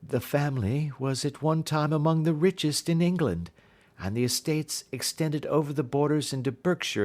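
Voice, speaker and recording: A man's voice: mid-range voice, darkish tone, close to an everyday voice. Guy reading as a scared young victorian lady, pleading and proper, audiobook style. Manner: anxious, pleading, formal Victorian speech. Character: frightened, desperate young gentlewoman pleading for help. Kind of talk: audiobook character voice.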